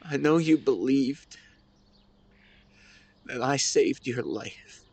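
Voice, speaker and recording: A man playing a grieving, devoted husband who speaks to his late wife in a tender, emotional, halting way, as an intimate audio roleplay monologue. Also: mid-range voice, creaky, darkish tone, no echo. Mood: aching gratitude.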